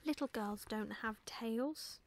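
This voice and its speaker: A woman's voice: a little high, slow pace, slight background noise. A woman voicing a naive, earnest young male barn owl in a storybook, childlike and easily cross. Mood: puzzled. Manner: childlike questioning, indignant protests.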